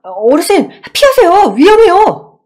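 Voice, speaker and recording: Woman voicing an earnest, animated car wash man. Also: extremely high pitch, unhurried, pitched above the performer's own voice, heavily acted. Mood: alarmed. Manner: urgent, deferential. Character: earnest, responsible male car wash manager, polite.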